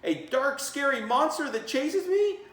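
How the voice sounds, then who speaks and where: A man's voice: very high voice, expressive, measured pace, a bit bright, pitched above the performer's own voice, heavily acted. A man voicing a curious young groundhog pupil from a storybook, eager and questioning, in an echoey room.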